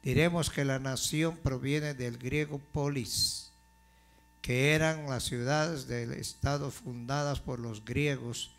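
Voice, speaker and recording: A male pastor preaches at a very slow, deliberate pace. His voice sits at a middle pitch, and he builds his points to forceful emphasis. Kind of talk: sermon.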